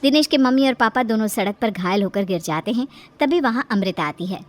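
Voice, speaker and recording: A woman's voice: on the high side, animated, fairly quick. A woman narrator reading a scripted cartoon story.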